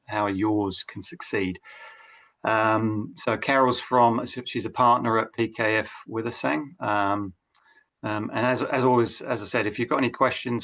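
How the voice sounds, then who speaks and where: A man's voice: on the low side, fairly bright tone. A man hosting a business webinar on a video call, speaking with many hesitations and restarts. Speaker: webinar host.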